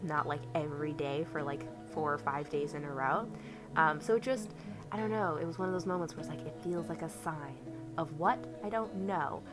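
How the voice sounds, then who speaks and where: A young woman with a slight cold talks through a relaxed home voiceover, rambling and full of small fillers. Her voice sits a little low for a woman. Clear background music plays beneath her the whole time. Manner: chatty, rambling, lots of ums and likes. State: has a cold. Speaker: bullet journal vlogger. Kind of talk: casual voiceover narration. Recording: soft background music under voice.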